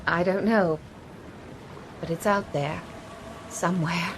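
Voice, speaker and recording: Earnest, brave castaway heroine, noisy background. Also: female voice, lowish voice, steady tone, slow pace. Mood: uneasy, foreboding. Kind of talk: radio drama acting.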